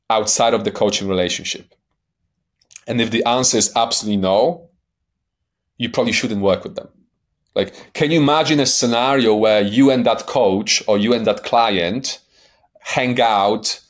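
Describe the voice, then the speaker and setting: A man in his forties with a light Polish accent, a life coach talking as a podcast guest in a blunt, emphatic way, swearing for emphasis and often checking in with "right?". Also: on the low side. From Poland. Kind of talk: podcast interview.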